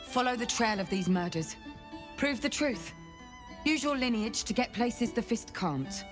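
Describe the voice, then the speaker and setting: An actress playing an earnest, dogged city guard investigator in a video game, with an intense, deliberate, confiding delivery. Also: female voice, fairly high pitch, lively intonation. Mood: urgent, frustrated. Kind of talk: dramatic game dialogue. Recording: background music under the voice.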